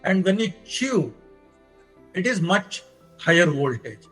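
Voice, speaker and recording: Slow, higher-pitched Indian man lecturing. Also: sing-song, a bit bright, slightly rough, soft background music. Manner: deliberate step-by-step explaining in short phrases. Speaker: lecturer presenting own engineering research. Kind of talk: technical lecture.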